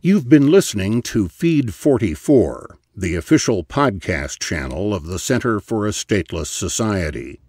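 A man announces a podcast from a script in a fairly low voice. His pitch moves in a lively, animated way, and the sound is close and dry. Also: a little slow, hardly any pauses, dry recording. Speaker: podcast intro and outro announcer.

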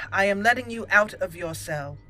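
Bright-voiced woman thinking aloud. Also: medium pitch, lively intonation, low-quality recording. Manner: musing, deliberate, thinking aloud. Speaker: gaming channel host roleplaying a character. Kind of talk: let's play commentary with in-character dialogue.

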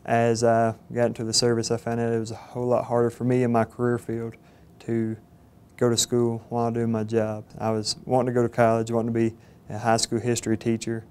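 A young man in his twenties with a Southern Georgia accent, earnest and halting with fillers, speaking in an interview. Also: mid-range voice, completely flat delivery, darkish tone, smooth. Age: 20s. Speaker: cadet candidate. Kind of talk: interview testimonial. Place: studio with plain backdrop. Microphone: lapel mic.

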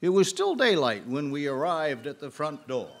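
Folksy caretaker, very animated pitch. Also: male voice. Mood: ominous storytelling. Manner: storytelling, halting when flustered. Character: folksy, gloomy rustic male caretaker of a haunted house. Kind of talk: live radio drama acting.

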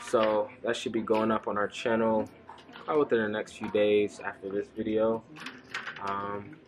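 A young man chatting casually to a handheld camera for his vlog, in a relaxed way. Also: fairly low pitch, normal speed, noisy background. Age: young adult. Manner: laid-back, talks to camera, commands dogs firmly. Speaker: vlogger. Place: car park outdoors, then house indoors. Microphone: handheld camera at arm's length.

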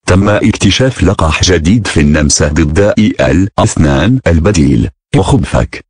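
A man's voice: sits low, darkish tone, husky, no room sound, clean studio sound. A man reading a scripted emergency announcement as a broadcast announcer, formal and synthetic-sounding.

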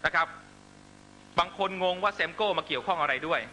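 A man's voice: fairly high pitch, animated, a little fast, sharp tone, a little hiss or hum. A man delivering a fiery political speech to supporters, accusatory and emphatic, constantly pressing listeners with rhetorical questions. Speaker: political rally speaker.

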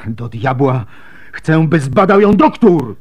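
An actor playing a desperate, devoted soldier husband with a temper, earnest and intense, in a Polish radio drama. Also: male voice, medium pitch, sing-song, slow, a little mellow, lo-fi sound. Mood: desperate, demanding.

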